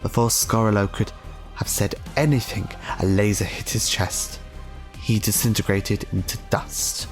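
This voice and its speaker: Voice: low voice, steady tone, unhurried, soft background music. An audiobook narrator reading a scripted story in a dramatic style.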